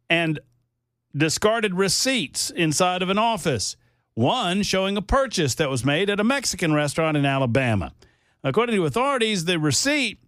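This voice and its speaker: A man's voice: sits high, a bit bright, dry recording, clean studio sound. A man hosting a talk radio show, reading silly news stories and making mocking asides.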